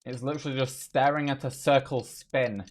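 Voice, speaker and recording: A man's voice: mid-range voice, steady tone, normal speed. A man doing casual live gameplay commentary as a streamer, reacting aloud and muttering to himself. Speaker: game streamer.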